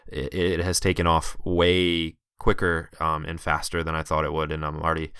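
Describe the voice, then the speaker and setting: A man's voice: rumbling low, completely flat delivery, moderate pace, fairly bright tone, clear, resonant tone, dry recording, clean studio sound. A man in his thirties hosting a podcast, talking in an earnest, encouraging way. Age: 30s. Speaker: podcast host. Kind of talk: casual podcast interview.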